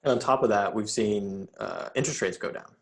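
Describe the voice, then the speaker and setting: A man with a fairly low voice hosts an interview. He sets up his questions with measured, analytical commentary in a conversational register. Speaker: interview host, real estate investor.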